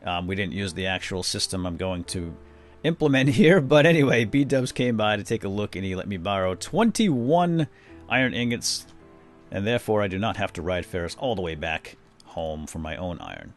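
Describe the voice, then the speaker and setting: A man's voice: fairly low pitch, moderate pace, faint music behind. A man hosting a video game series, chatting casually and retelling a story with lots of fillers. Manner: rambling, many fillers, lively retelling. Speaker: let's play host. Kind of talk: casual gameplay commentary and storytelling.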